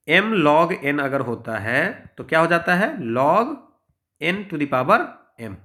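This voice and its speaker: Higher-pitched Indian man teaching maths. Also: bright, forceful tone. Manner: explanatory, with frequent check-in tags. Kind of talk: informal exam-prep lesson, working through problems step by step.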